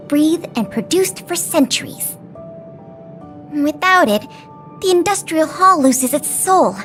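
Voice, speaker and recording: Bright-pitched, animated young officer. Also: female voice. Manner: articulate, businesslike, explanatory. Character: earnest, dutiful young female guard intelligence officer, brisk and polite. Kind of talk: anime-style video game voice acting.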